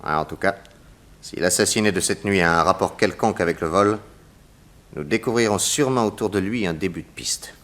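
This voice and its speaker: An actor playing a brisk, confident and courteous detective in a 1960s French radio mystery serial. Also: male voice, low voice, fairly bright tone. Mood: inquisitive, decisive. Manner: brisk, assured, polite.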